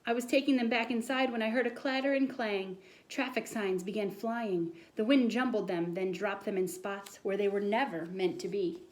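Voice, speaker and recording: Woman acting out an excitable storybook schoolboy, earnest, insistent, dramatic tall-tale telling. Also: mid-range voice, moderate pace, few pauses, slight background noise, natural voice, rough recording. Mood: excited storytelling.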